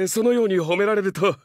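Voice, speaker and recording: A man's voice: high-pitched, no room sound, clean studio sound. A man voicing a young, fiercely loyal dog-man samurai in a Japanese game, speaking earnestly in formal, archaic warrior speech with dramatic delivery. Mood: courteous, devoted.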